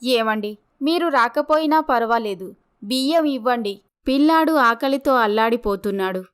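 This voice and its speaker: A woman's voice: a little high, lively intonation, normal speed, clear, resonant tone, lightly acted. A woman voicing a meek, hurt young village wife in a story, with an earnest, pleading manner.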